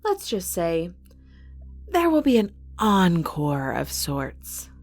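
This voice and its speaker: A woman's voice: on the low side, wildly swooping pitch, slow pace, darkish tone, heavily acted. A woman playing a composed, imperious villain who runs a fantasy fight arena in an audio drama. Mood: quietly smug. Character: cold, commanding female villain running a fantasy fight arena. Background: music.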